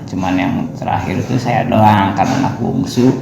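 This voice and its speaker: A man, a religious teacher explaining things casually with pauses and repeated phrases. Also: sits low, steady tone. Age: mid-30s. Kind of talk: casual interview answers. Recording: echoey room, clear background noise.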